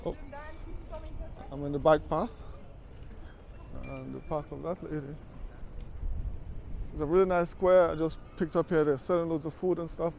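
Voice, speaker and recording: A man's voice: a little high, steady tone, moderate pace, creaky, pauses often, darkish tone, slight background noise. Chatty man vlogging on city streets. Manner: chatty, conversational, fond of "you know". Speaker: travel vlogger. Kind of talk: casual walking travel vlog. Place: outdoors on city streets and at a market.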